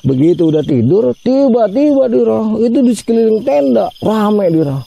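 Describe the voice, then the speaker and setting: A man's voice: sits high, very animated, normal speed, creaky, warm tone, breathy edge, no echo, lo-fi sound. A man telling a ghost story in a chatty way, often addressing his listener by name and acting out the voices he quotes. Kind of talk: casual spoken ghost story.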